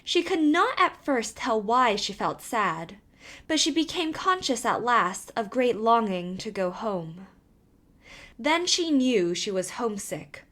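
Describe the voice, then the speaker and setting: A woman's voice: medium pitch, a bit bright. A woman reading a fairy tale aloud as scripted narration. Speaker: storyteller.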